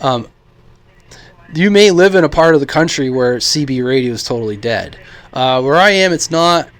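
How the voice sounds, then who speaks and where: A man's voice: medium pitch, lively intonation, normal speed, fairly bright tone. An American man giving a casual, opinionated vlog monologue in a blunt, informal, rambling way, as a radio hobbyist. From United States.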